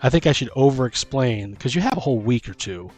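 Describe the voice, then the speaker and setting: A man's voice: mid-range voice, animated, quick pace, darkish tone. A man talking casually and informally as a podcast host and dungeon master, thinking aloud about running tabletop games.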